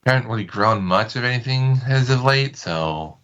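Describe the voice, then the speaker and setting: A man's voice: mid-range voice, animated, moderate pace, a bit bright, lo-fi sound. An American man chatting casually as a podcast guest, wording his points loosely and tentatively. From United States. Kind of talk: casual interview chat.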